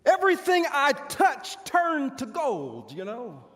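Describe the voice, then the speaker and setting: A proud, bitter military commander of old , played by a man declaims to an audience in a theatrical monologue. His delivery is pompous and very animated, broken by dramatic pauses. The voice rings through a big hall with long reverb. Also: very high-pitched, heavily acted. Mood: boastful. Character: proud, boastful male army commander of ancient Israel's era, bitter. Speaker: pastor performing a monologue. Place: large church hall stage.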